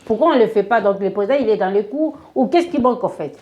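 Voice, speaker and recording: Woman hosting an interview, mellow voice, asks pointed questions. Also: mid-range voice, animated, quick pace, lo-fi sound. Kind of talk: political interview.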